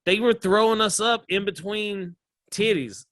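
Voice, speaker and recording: A man with a Southern drawl tells his stories with energy, laughing as he goes and acting out the people in them. His voice is bright and forceful and sits a little high. The sound is close and dry. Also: a little slow, no echo. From American South. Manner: animated storyteller, laughs often, acts out voices. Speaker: comedian guest. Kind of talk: casual interview chat.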